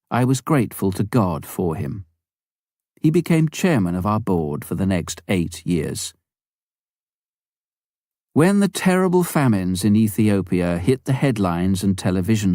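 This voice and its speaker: A man with a fairly low, very mellow voice narrates a memoir. His reading is measured and clearly phrased, in the scripted style of an audiobook. The sound is dry and close, with little room around it. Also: no room sound.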